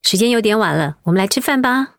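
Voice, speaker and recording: An actress playing a caring young mother in a radio drama, with a gentle, reassuring way of talking. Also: mid-range voice, expressive, fast, dry recording, clean studio sound, close to an everyday voice.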